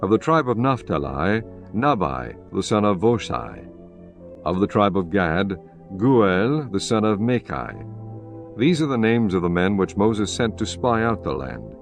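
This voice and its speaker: Deep solemn male scripture narrator. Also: big pitch swings, a little slow, soft background music.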